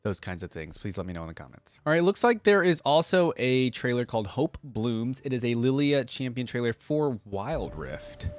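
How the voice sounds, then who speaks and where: A man's voice: mid-range voice, big pitch swings, normal speed, hardly any pauses, no echo, studio quality. A man hosting a reaction video, commenting casually as he watches.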